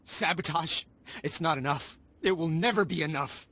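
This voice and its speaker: A man's voice: a little high, moderate pace, bright, forceful tone. An actor playing a grieving, furious male villager who has lost his wife, with bitter accusing lines in a game cutscene.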